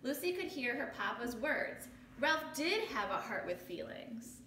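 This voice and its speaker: A woman in her thirties, a teacher reading a children's picture book aloud, expressive and pausing to explain things to children. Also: a little high. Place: small living room, indoors. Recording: roomy home recording with background noise.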